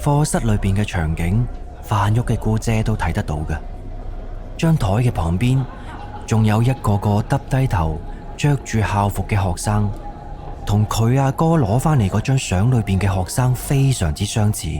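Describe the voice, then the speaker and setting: A man's voice: on the low side, lively intonation, normal speed. A man with a Cantonese accent narrating a scripted suspense ghost story for an audio drama. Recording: soft music under the voice. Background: background music.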